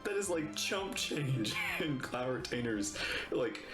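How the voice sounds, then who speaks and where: A man giving casual live reaction commentary as a game streamer, exclamatory and halting. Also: medium pitch, expressive. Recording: roomy microphone with game music behind.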